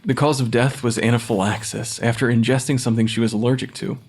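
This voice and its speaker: Clever, probing pathologist, middling pitch. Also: male voice, steady tone, fast, a little mellow, breathy edge. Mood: awkward, surprised. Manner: analytical, hesitant when cornered. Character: clever, probing, awkward male forensic pathologist with a secret. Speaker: forensic pathologist character. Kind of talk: naturalistic audio fiction podcast acting.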